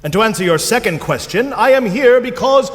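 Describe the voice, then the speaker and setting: Man playing a whimsical high-voiced night spirit, echoing hall. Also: expressive, a little fast. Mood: jolly, playful. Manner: theatrical, playful, grandiose phrasing. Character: jolly, whimsical, boastful troll-like night spirit. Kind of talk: musical theatre staged reading, spoken and sung. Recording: live school stage, long reverb, loud music.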